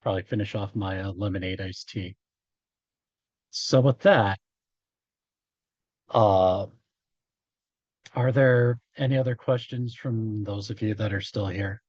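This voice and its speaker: Slow-talking, slightly hoarse male instructor, dull sound. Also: lowish voice, a little mellow, dry recording. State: hoarse after hours of talking. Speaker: college instructor. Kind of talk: informal statistics lecture, working through examples.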